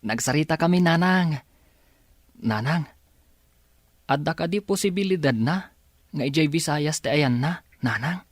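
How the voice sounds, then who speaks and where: A man's voice: a little high, lively intonation, measured pace, long pauses, no room sound, clean studio sound. A man acting an earnest, respectful young son in an Ilocano radio drama. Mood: curious. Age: young adult.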